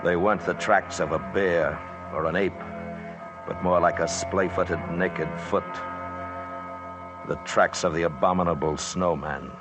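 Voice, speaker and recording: A man's voice: low voice, steady tone, measured pace, over music. An actor playing a stubborn mountain climber who tells of his expedition in a grim, clipped 1950s radio drama style. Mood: grim, measured. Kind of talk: 1950s radio drama acting and first-person narration. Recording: noisy 1950s radio broadcast recording.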